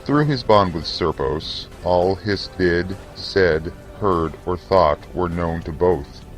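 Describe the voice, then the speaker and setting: A man reading scripted fantasy narration for an audio drama in a solemn, measured, theatrical way. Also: sits low, faint music behind.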